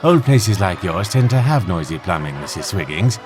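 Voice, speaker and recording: Casting: male actor as rational gentleman sleuth, low-pitched, courteous, measured, faint music beneath. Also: sing-song. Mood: confident. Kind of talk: fan audio drama acting.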